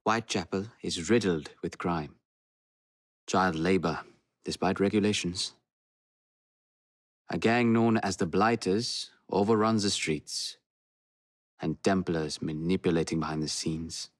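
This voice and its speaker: A courteous, earnest assassin guide. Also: male voice, low-pitched, fairly even intonation, measured pace, long pauses. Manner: formal, polite.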